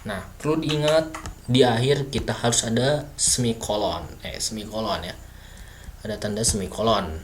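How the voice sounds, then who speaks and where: A man's voice: lowish voice, moderate pace, a little hiss or hum. A man teaching basic coding in a casual screen-recorded lesson, thinking aloud with many fillers and self-corrections. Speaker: self-taught coding tutor.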